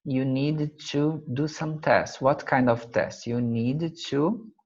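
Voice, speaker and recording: Slow, halting Brazilian math teacher. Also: male voice, mid-range voice, dull audio. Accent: Brazilian Portuguese. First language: Portuguese. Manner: halting, searching for words, frequent fillers. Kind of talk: slide-based math lesson.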